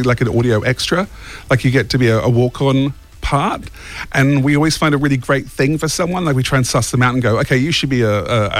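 An Australian man chatting casually as a radio interview guest, with a wry, self-mocking way of talking. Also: medium pitch. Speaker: interview guest, creator of an audio comedy drama.

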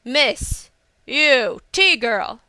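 Proud young lord of a storybook, voiced by a female narrator: formal, earnest, bright and crisp. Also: medium pitch, moderate pace, no room sound. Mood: angry shout. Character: proud young landowner's son, demanding, then humbly formal.

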